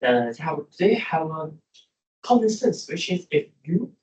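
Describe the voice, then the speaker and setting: A man's voice: on the high side, sing-song, a little slow, a little mellow. Man, hesitant, lots of fillers, student question, roomy echo. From China. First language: Chinese. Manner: hesitant, many fillers and restarts.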